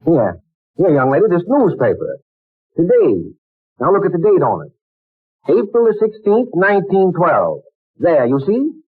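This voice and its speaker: A genteel, hesitant old office boss, pitch rising and falling widely; tinny vintage radio play sound. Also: male voice, wildly swooping pitch, unhurried, long pauses, breathy edge, dry recording, heavily acted. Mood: formal, fussy. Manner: hesitant, old-fashioned formal speech full of "uh". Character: courteous fussy old company boss stuck in the past. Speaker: office manager interviewing a typist. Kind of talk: 1940s radio acting.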